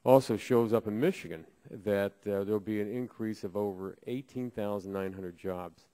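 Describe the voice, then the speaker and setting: Congressman from Ohio, low mellow voice, halting with fillers, at a desk mic. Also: smooth. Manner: halting, with many filler pauses. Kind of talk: committee hearing remarks. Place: committee hearing room.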